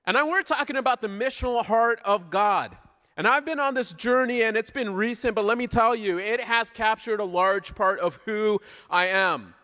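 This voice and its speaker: A high-voiced Canadian man preaches informally with a bright, forceful delivery. He is playful and conversational, often putting questions to the congregation. His voice rings out through a hall with long reverb. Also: normal speed. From Southern Ontario, Canada. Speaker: guest preacher. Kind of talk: informal sermon with audience interaction. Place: large church hall, speaking to a congregation.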